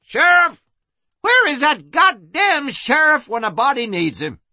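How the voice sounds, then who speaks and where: Male reader as brassy frontier madam, high and forceful, foul-mouthed, hollering her orders in a western story. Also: wildly swooping pitch, measured pace, dry recording, heavily acted. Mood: urgent, bellowing. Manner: bellowing, screeching alarm. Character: feisty, foul-mouthed female Old West bordello madam. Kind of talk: western audiobook character voice.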